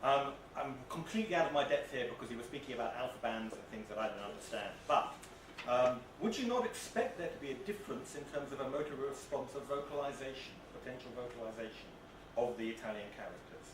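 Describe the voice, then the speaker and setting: Booming man in an echoey hall. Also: animated, fast. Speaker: audience member asking a question. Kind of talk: unscripted question at an academic symposium. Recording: echoey, noisy hall from far off.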